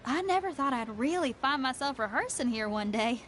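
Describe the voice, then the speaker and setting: A woman's voice: high-pitched, lively intonation, normal speed, fairly bright tone, a little hiss or hum. A woman playing a spirited, easygoing young rock musician in a video game, folksy, confident and friendly. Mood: reassuring.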